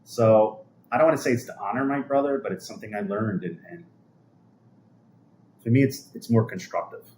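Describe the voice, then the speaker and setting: A man's voice: on the low side, moderate pace, a little mellow, low-quality recording. A man, chatting casually on a livestream in a rambling, conversational way that often trails off. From New York City, USA. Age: 50s. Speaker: livestream host. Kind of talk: casual livestream chat, answering viewer comments.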